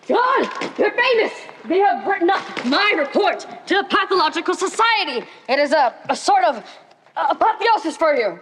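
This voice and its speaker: A young woman playing a proud, formal Victorian physician in a high school stage play, composed in manner. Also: big pitch swings, moderate pace, a bit bright, full character voice.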